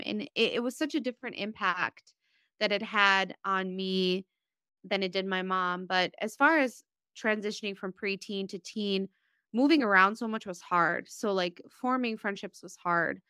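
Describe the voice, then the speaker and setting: A woman's voice: mid-range voice, bright, forceful tone, smooth, no room sound, studio quality. A woman from Bosnia in her thirties, a podcast guest, talking candidly and at length about her life in a heartfelt, rambling way. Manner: earnest, rambling, emotional storytelling. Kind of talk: candid personal conversation.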